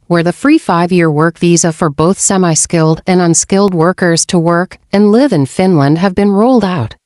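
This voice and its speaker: A woman with a lowish voice reads a scripted voice-over in an even, steady manner. The sound is dry and close, with no room around it. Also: dry recording. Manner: even, read-aloud delivery. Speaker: channel narrator.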